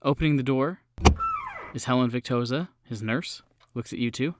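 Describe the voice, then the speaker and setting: A man runs a tabletop game as game master, with a mid-pitched voice. He improvises scenes in a halting, pausing flow. There is some noise on his microphone.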